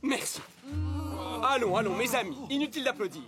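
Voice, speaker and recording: Foppish, very high-voiced young nobleman. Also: lively intonation, a little fast. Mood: grandiose, declaiming. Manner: theatrical, florid declaiming. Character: foppish young nobleman poet feigning cowardice. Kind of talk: animated series dubbing.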